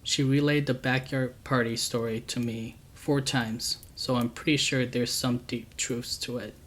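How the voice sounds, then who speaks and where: A man calmly reading true scary stories aloud as a channel host, with a steady, unhurried delivery. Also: medium pitch, slight background noise.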